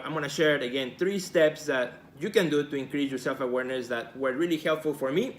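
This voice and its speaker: Brief: Mexican-accented man, Spanish his first language, mid-range voice, earnest live-video host who coaches and repeats his points, faint hum behind. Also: medium pitch. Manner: earnest, encouraging, repeats key phrases. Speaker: host and art career coach. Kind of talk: casual live video talk to viewers.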